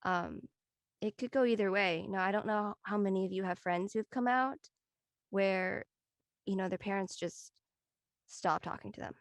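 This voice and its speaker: Woman, mid-range pitch, casual panelist, tentative, rambling, reflective, dry recording. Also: moderate pace, no room sound. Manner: tentative, rambling, peppered with "you know".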